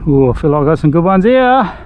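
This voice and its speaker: A man's voice: on the high side, very animated, fast, dull audio, slight background noise. Laid-back Australian man fishing from a boat. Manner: laid-back, chatty, swears casually. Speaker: fishing vlogger. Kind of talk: casual outdoor narration to camera. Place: small boat in a mangrove creek outdoors. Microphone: action camera on the boat.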